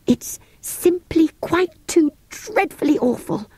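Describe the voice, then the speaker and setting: A woman voicing an earnest, bold young girl in a storybook, with an eager, coaxing and gentle manner. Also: very high voice, expressive, slow, no room sound, voice pushed up, heavily acted. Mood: dismayed. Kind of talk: storybook character voice.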